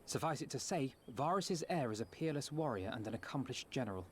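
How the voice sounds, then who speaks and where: An actor playing a measured, erudite male strategist in a video game, formal, precise and composed. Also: mid-range voice, expressive, close to an everyday voice. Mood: analytical. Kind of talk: dramatic video game voice acting. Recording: faint background noise.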